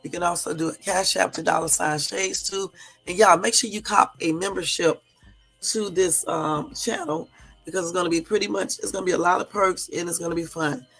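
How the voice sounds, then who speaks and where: A hoarse woman from the US West Coast hosting a live stream, chatting casually with viewers and sprinkling in lots of fillers. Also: lowish voice, fairly even intonation, brisk, a bit bright, soft background music. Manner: chatty, addresses viewers as y'all, many fillers. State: hoarse from a cold. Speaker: livestream host.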